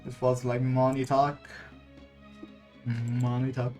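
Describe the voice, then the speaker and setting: A man giving casual game commentary, halting as he searches for words, with game music behind him. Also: mid-range voice, completely flat delivery. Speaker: gaming streamer.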